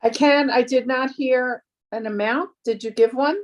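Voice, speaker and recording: Higher-voiced woman taking meeting minutes. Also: normal speed. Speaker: board minutes taker. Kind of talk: casual meeting discussion.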